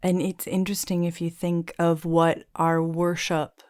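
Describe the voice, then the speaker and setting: A woman hosting an interview in a low, resonant voice. She speaks earnestly and thoughtfully, stringing her ideas together in long, flowing runs. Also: little pitch movement, measured pace, darkish tone. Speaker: podcast host. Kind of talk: reflective conversational interview.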